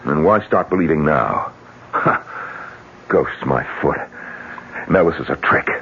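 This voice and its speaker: An actor playing a tough, stubborn businessman in a 1970s radio drama, blunt and challenging, with a gravelly voice and an American accent. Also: a bass voice, expressive, a little slow, a little hiss or hum, heavily acted. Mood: insistent, combative. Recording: dull 1970s AM radio recording.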